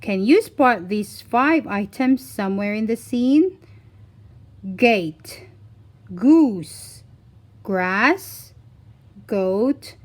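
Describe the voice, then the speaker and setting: A woman reading a scripted phonics lesson for young children, deliberately enunciating single words in an instructional way. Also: medium pitch, expressive. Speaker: children's phonics teacher and reader.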